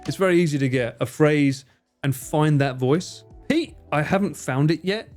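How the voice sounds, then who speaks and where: A man's voice: a little high, expressive, moderate pace, a little mellow, faint music behind. A man streaming live, chatting casually with viewers in a joking, theatrical way and swearing freely. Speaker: streamer and cameraman.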